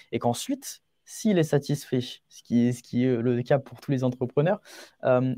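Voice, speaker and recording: A man's voice: mid-range voice, dark tone, dry recording, clean studio sound. An eighteen-year-old man giving advice as a podcast guest in an earnest, informal, emphatic way, often repeating key phrases. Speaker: young entrepreneur, podcast guest. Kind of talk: conversational interview answers, giving advice.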